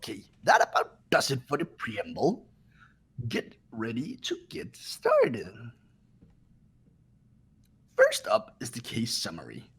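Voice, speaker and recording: A man's voice: mid-range voice, wildly swooping pitch, slow, pauses often, slightly rough, exaggerated voice. A man voicing a menacing, theatrical mascot bear running a deadly trial in a video game. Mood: mock cheerful.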